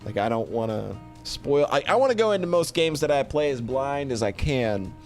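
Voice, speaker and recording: A man's voice: mid-range voice, expressive, moderate pace, soft background music. A man giving a casual, unscripted review as a streamer, often repeating and restarting phrases as he thinks aloud.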